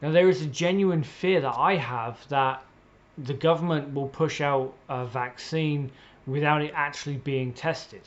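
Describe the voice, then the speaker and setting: A man delivering an opinionated political rant in a blunt, sweary, exasperated way, with emphatic pauses and repetition, on slightly muffled audio. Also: on the high side. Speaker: political commentator.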